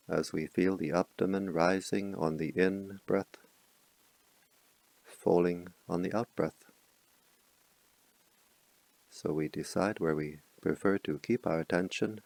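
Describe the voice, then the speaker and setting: A man leading a guided meditation as a teacher, speaking slowly and calmly in soft, spaced-out phrases with long pauses. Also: deep voice, creaky, dry recording, low-quality recording. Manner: slow, calm, soft-spoken instructions with long pauses.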